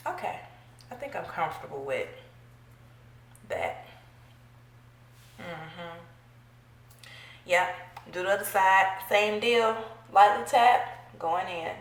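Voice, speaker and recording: A woman's voice: mid-range voice, big pitch swings, takes it slowly, pauses often, echoey room, a little hiss or hum. A young woman with a stuffy, congested voice, chatting playfully to her viewers while giving a makeup tutorial at home. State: congested from a cold. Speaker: beauty vlogger. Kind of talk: casual makeup tutorial chat. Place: small room at home, indoors.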